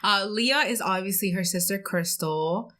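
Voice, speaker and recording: A woman's voice: medium pitch, unhurried, fairly bright tone. A woman co-hosting a pop culture podcast in a casual, conversational style. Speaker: podcast co-host.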